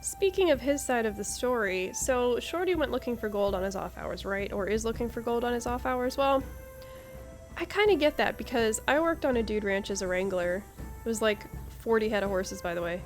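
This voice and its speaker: A woman's voice: on the high side, expressive, a little fast, soft background music. A woman giving casual, chatty playthrough commentary as a gaming host, reacting aloud with interjections.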